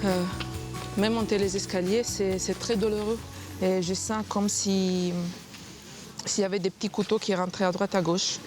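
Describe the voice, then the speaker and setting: A woman in her thirties from Sardinia with an Italian accent, talking haltingly in a documentary interview. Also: mid-range voice, steady tone. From Sardinia, Italy. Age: 30s. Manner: hesitant, many restarts and fillers. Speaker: cosmetic surgery patient. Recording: music playing underneath.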